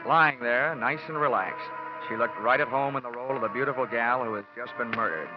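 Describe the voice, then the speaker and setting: An actor playing a wisecracking, cynical insurance investigator in a mid-century American radio detective drama. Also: male voice, medium pitch, fairly quick, natural voice. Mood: wry, hardboiled. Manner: wry, cynical, slangy. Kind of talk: 1940s-50s radio detective acting. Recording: dull vintage radio recording, music behind.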